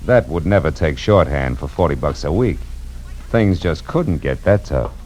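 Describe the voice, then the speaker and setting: An actor playing a laconic hard-boiled American detective in 1940s radio noir style. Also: male voice, extremely low pitch, animated, normal speed, a little mellow, a little hiss or hum. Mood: wry, hard-boiled. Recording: vintage radio recording with music.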